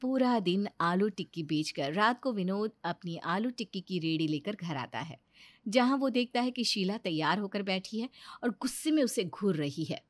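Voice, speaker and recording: A woman narrator reading a scripted children's story in an expressive storytelling manner. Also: medium pitch, normal speed, no echo.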